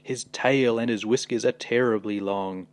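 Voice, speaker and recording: A man reading a children's picture book aloud as a storyteller, dramatic and expressive. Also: mid-range voice, normal speed.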